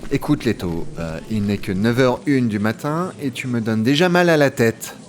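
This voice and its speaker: A man's voice: medium pitch, lively intonation, normal speed, lightly acted. A man playing a reassuring, drily teasing veteran employee showing a newcomer around, in a French audio comedy. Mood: wry. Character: wry, reassuring male office guide, patient veteran employee.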